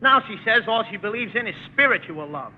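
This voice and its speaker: An actor playing an earnest, naive, lovesick teenage schoolboy with eager, plaintive delivery in a 1940s radio sitcom. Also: male voice, sits high, lively intonation, a little fast. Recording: muffled, faintly noisy old radio recording.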